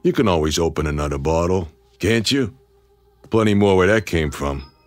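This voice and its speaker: Man as a low-voiced, menacing hard-boiled tough guy. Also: moderate pace, no room sound, soft background music, lightly acted. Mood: mocking menace. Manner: terse, mocking, hard-boiled. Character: menacing, sardonic male tough guy out for revenge. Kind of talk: radio drama acting.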